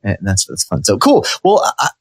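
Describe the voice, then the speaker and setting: A man's voice: lowish voice, wildly swooping pitch, moderate pace, dry recording, studio quality. Upbeat chatty male podcast host. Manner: upbeat, chatty, many fillers and restarts. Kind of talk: casual interview chat. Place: studio.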